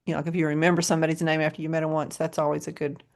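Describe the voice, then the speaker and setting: An American woman with a low voice co-hosting a podcast, chatting casually and often laughing. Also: level tone, racing. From United States. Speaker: podcast co-host. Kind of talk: casual chat.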